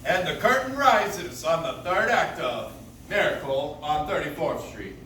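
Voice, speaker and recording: An actor playing a dramatic 1940s radio host narrating a play in measured phrases. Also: male voice, on the high side, lively intonation, sharp tone. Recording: echoey, distant, noisy audience-member recording.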